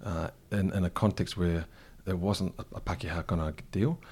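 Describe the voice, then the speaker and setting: A man's voice: low voice, steady tone, normal speed, a little mellow, slightly rough. A middle-aged man with a New Zealand accent, thoughtfully recalling his past as an interview guest, often restarting his sentences. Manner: thoughtful, frequent restarts and fillers. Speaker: interview guest, cafe co-founder. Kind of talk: reflective conversational interview.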